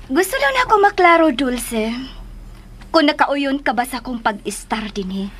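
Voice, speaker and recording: A woman's voice: high-pitched, expressive, moderate pace, fairly bright tone. An actress playing an assertive, haughty young woman in a radio drama, with a pointed, demanding way of talking. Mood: pointed, insistent.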